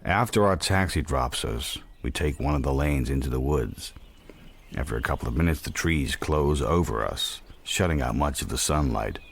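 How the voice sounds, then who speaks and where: An actor playing a worldly, watchful man narrating a radio drama in the first person, introspective, measured and dry. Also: a bass voice, lively intonation. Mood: reflective. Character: worldly, reflective, watchful male narrator-protagonist.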